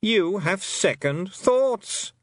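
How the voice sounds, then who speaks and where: A man voicing a haughty, cold fairy queen who talks in a silky, condescending, drawn-out way. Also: sits high, expressive, no echo, clean studio sound, voice pushed up, full character voice. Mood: mocking.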